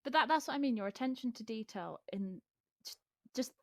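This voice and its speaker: A woman's voice: fairly high pitch, lively intonation, a little fast, no echo, clean studio sound. A woman who works as a voice actor, talking casually and with gushing enthusiasm about an audio drama. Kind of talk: casual behind-the-scenes chat.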